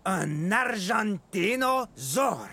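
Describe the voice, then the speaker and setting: An actor playing an expressive, friendly dinosaur who talks to young viewers in an educational children's cartoon, with music behind. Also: medium pitch, very slow, noisy background, exaggerated voice. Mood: sheepish, friendly. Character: huge clumsy friendly dinosaur; small boastful excitable dinosaur.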